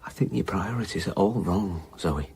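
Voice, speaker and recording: An anxious, protective family man in a radio play. His pitch moves up and down a great deal as he talks, in a natural manner, over faint noise. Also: lively intonation, normal speed, vocal fry, a little mellow. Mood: worried, reproachful. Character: protective, worried husband and father. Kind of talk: naturalistic radio drama acting.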